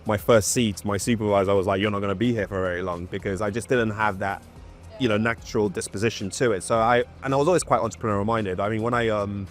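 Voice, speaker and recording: Fast-talking lower-voiced man. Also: fairly even intonation, soft background music. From Nigeria. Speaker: former solicitor turned founder. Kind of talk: casual panel discussion.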